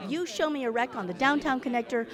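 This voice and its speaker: A woman playing a friendly, lonely office worker with wry humor in a live stage radio play, chatty and expressive. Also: sits high, quick pace, a crowd in the background, close to an everyday voice. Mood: wry, animated. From Atlanta, southern US. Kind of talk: live stage audio drama before an audience. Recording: live stage show, music, audible audience. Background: music and audience.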